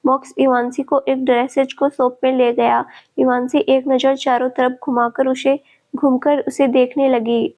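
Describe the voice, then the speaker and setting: A woman narrating a scripted family story at a steady, even reading pace. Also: sits high, normal speed.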